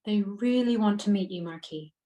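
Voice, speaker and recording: A woman's voice: mid-range voice, normal speed, dark tone, lightly acted. A woman playing an attentive, motherly hospital worker in a screenplay table read. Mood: courteous, admiring. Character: motherly, attentive medical woman, phlebotomist or doctor.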